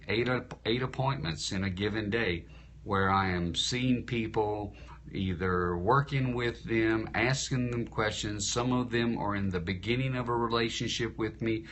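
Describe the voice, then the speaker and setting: A man's voice: on the low side, fairly bright tone, slight background noise. A man who works as an insurance entrepreneur and speaker, coaching viewers live and often asking rhetorical questions, tired after a long day. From Louisiana. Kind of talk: live-stream talk to viewers. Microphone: phone.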